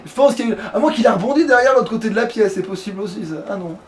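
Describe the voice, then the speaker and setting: A man's voice: sits high, animated, racing, far from the mic. A man giving casual, grumbling, sweary commentary while playing a video game. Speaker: let's player. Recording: clear background noise, game ambience.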